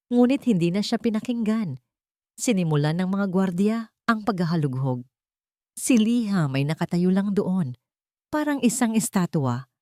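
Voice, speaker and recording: Female storyteller, lowish voice, lively swings in pitch, dramatic scripted narration, close dry sound. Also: no echo.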